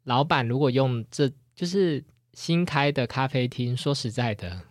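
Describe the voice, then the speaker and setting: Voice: medium pitch, normal speed, no room sound, studio quality. 一位帶台灣腔國語的播客主持人，用輕鬆直率、帶點打趣的口吻閒聊並給意見。. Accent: Taiwanese Mandarin. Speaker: podcast co-host. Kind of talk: casual, opinionated chat.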